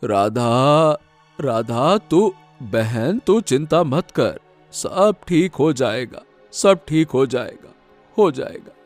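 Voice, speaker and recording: Man acting a slow, troubled young farmer. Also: medium pitch, animated, faint music behind. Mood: downcast. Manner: halting, pleading, repeating words. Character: earnest, troubled, kind-hearted young farmer. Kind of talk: melodramatic Hindi cartoon story acting.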